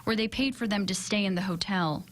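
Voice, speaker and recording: A woman's voice: mid-range voice, brisk, noisy background. American woman reporter narrating TV news.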